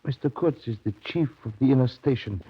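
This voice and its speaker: An actor playing an admiring assistant manager at a colonial station, earnest and reverent in a radio drama. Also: male voice, mid-range voice, a little fast, husky. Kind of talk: 1930s radio drama acting. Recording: dull, lo-fi 1930s radio recording.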